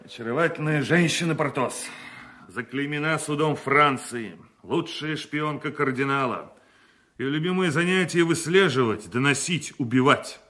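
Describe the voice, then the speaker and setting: A man playing a composed, noble musketeer in a radio play, measured and authoritative. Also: fairly high pitch, sharp tone. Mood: grave. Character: composed, resolute noble musketeer, a count in disguise.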